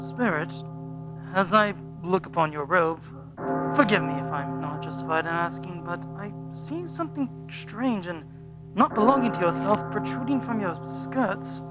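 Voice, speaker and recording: A man's voice: sits high, normal speed, natural voice, poor audio. Man acting a repentant old miser, music behind. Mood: anxious. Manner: earnest, pleading, old-fashioned formal delivery. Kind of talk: radio play acting of a Victorian story. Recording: loud music under the voice.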